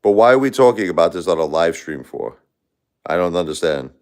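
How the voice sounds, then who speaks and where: A man chats loosely on his own livestream, circling back on his words and calling out viewers by name. His voice sits very low. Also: expressive, brisk, a little mellow. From Brooklyn, New York. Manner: rambling, repeats words and restarts, addresses chatters by name. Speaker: livestream host. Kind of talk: casual livestream chat with viewers.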